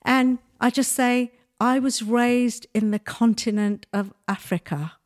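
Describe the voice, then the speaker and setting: Lively older woman telling stories. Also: fairly high pitch, a little slow. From Nigeria. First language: Bengali. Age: 60s. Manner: lively storyteller, laughs often. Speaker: guest. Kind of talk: casual interview chat.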